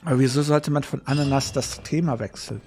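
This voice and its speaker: A man's voice: mid-range voice, steady tone, fast, dark tone, faint music behind. A man chatting casually as he hosts a live gaming stream.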